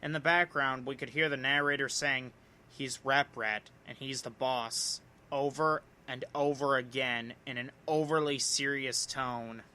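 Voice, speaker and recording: A man's voice: mid-range voice, fairly even intonation, measured pace, bright, forceful tone, clean tone. A man narrating a scripted horror story in a theatrical way, drawing out key words for emphasis.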